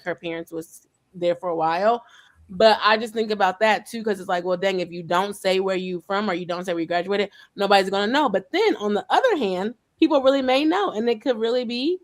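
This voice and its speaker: A woman hosting a casual group conversation, telling stories in a chatty way and acting out the dialogue, with relaxed Southern-leaning grammar. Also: medium pitch. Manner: chatty storyteller, acts out dialogue. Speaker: host.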